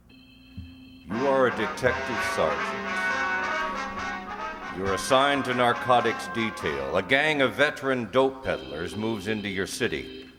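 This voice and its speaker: Man as a dramatic low-voiced vintage radio announcer. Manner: dramatic, declamatory. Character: solemn, authoritative male 1950s radio crime show announcer. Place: live stage performance in a hall. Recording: echoey hall with loud music behind.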